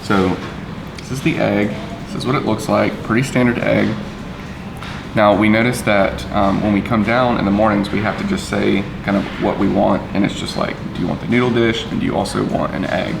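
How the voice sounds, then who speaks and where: A man vlogging casually over breakfast in a hotel dining area, rambling with fillers, his voice a little raspy from the early hour. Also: lowish voice, a little fast. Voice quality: slightly raspy in the early morning. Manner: relaxed and rambling, with many fillers. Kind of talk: casual vlog chat. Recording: echoey room with background noise.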